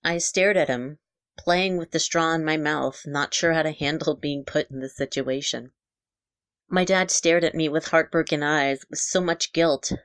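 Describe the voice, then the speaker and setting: A woman narrating a scripted first-person dramatic story in a measured, reflective way. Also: sits low, no echo. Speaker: podcast writer and narrator.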